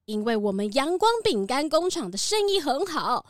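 A woman's voice: high voice, big pitch swings, moderate pace, no echo, studio quality. A woman voicing a friendly female goat who owns a cookie factory and bleats now and then, in a children's radio drama. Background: background music.

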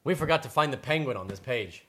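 Playful man with a husky voice. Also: medium pitch, animated, fast, bright, forceful tone. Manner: joking, teasing. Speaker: co-host reading aloud and leading a story game. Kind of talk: casual playful chat, reading options aloud.

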